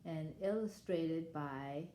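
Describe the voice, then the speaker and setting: A woman's voice: sits low, slow pace, mellow, a little hiss or hum. Woman reading aloud in an echoey room. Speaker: storyteller reading a picture book aloud. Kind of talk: read-aloud children's story.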